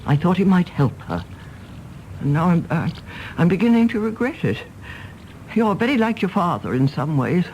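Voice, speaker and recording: Genteel, expressive elderly English matriarch. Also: female voice, on the low side, normal speed, pauses often, lo-fi sound, a little hiss or hum. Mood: firm, regretful. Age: older. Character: stern, dutiful elderly English mother and household matriarch. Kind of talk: stage play performed as broadcast drama.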